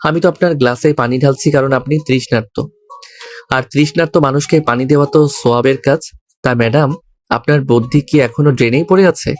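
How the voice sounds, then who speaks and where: A man's voice: medium pitch, quick pace, natural voice. A man playing a cheeky young servant husband in a romantic audio story, teasing and mock-deferential. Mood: defiant.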